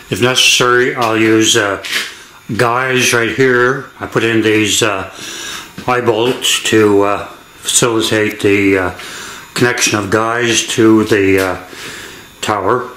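An older man giving a hands-on how-to in a halting, deliberate, matter-of-fact way, recorded in a garage workshop. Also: on the low side, steady tone, bright, forceful tone. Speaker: DIY demonstrator. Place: indoor garage workshop with hard floor. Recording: echoey garage room.

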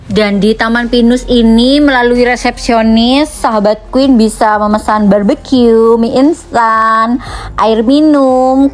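A woman narrating a camping travel vlog in a friendly scripted voice-over, speaking to her viewers as friends. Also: on the high side. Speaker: vlogger.

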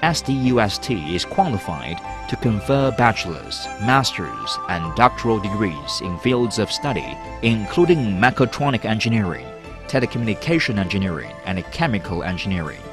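A man narrates a university promotional film in a formal, measured way. His voice sits a little low. Music plays loudly beneath the voice-over. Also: big pitch swings. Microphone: studio voice-over.

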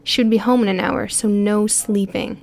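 A woman reading a prose story aloud in an even, clear storytelling voice, recorded close on a studio mic. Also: medium pitch, normal speed. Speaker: audiobook narrator. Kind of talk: scripted narration.

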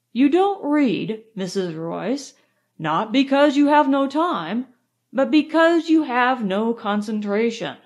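A woman reading aloud, voicing a calm, firm male nerve doctor who lectures in a measured way. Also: on the high side.

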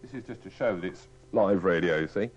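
A man's voice: low-pitched, fairly quick. A man working as a radio DJ, casually ad-libbing live to his listeners. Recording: dull old radio tape with faint music.

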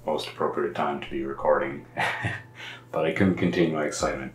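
A man in his thirties with a lost, hoarse voice, chatting excitedly and rambling through a show-and-tell vlog from his home study. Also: extremely low pitch, moderate pace, reverberant, heavy background noise. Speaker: stationery vlogger. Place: home study with lamps and bookshelves.

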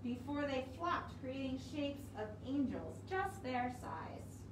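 A woman's voice: high voice, very animated, slow. A woman hosting a preschool storytime, speaking simply and encouragingly to small children through a cloth mask in a library room. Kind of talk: read-aloud and instructions for young children. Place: library room with wooden walls and windows, indoors. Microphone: camera a few feet away, speaking through cloth mask. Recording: roomy, distant mic with strong noise.

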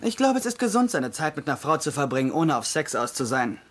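An earnest, naive young doctor in a hospital comedy dub. The voice is fairly high and lively, with faint noise behind it. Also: male voice, fast talker. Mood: eager, earnest. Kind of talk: German-dubbed TV comedy acting and narration.

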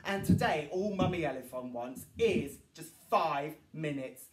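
A man's voice: fairly high pitch, animated, unhurried, soft background music. A man in his thirties reading a storybook to children in an exaggerated, sing-song way. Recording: roomy echo, distant microphone.

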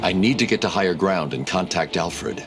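A man's voice: sits low, moderate pace. An actor playing a grim, commanding hero in his thirties in a video game, terse and controlled. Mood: grimly determined. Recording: loud game music behind the voice.

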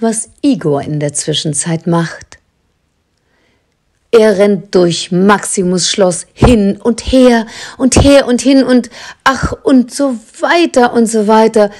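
A woman's voice: mid-range voice, darkish tone. A woman giving scripted narration for a children's audio drama.

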